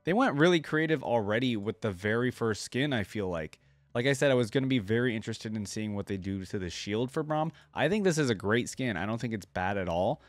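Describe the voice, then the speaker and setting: A man's voice: mid-range voice, animated, brisk, dry recording. A man giving casual reaction commentary as a host, thinking aloud in short, informal remarks.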